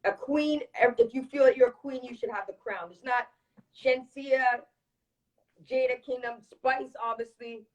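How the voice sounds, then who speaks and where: A woman's voice: on the high side, steady tone, measured pace, dull audio. A woman from Toronto speaking candidly as a singer being interviewed, emphatic and given to repeating phrases for emphasis. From Toronto, Canada. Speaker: reggae dancehall singer, interview guest. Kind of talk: casual live interview chat.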